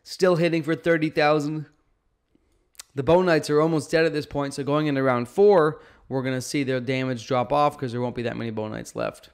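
A man's voice: fairly high pitch, steady tone, moderate pace, clear, resonant tone. A man gives casual strategy commentary on a game, emphatic and often checking in with the listener. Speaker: gaming video host. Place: indoor room at home.

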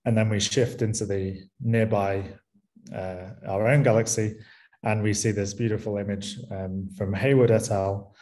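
A man with a Manchester-area English accent gives a conference talk from a small room over a webcam. His voice sits low and sounds mellow, and his delivery is relaxed, full of ums and small restarts. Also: a little slow. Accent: Mancunian English. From England, near Manchester. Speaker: university lecturer.

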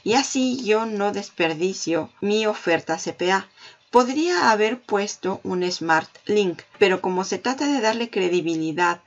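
A woman hosting a marketing tutorial, walking the viewer through software on screen and talking straight to them. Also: mid-range voice, animated, unhurried, dull audio. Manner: explanatory, addresses viewer directly. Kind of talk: screen-recorded software walkthrough.

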